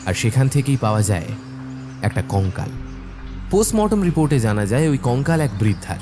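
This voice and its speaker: A male narrator tells a scripted spooky cartoon tale with dramatic delivery. His voice is mid-pitched, with music clearly playing behind it. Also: big pitch swings, fairly quick.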